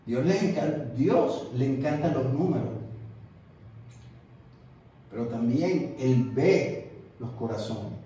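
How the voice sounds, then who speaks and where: A man's voice: medium pitch, animated, slow pace, pauses often, mellow. A man preaching a sermon as an evangelist, emphatic and repeating his words for stress. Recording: echoey room, distant mic.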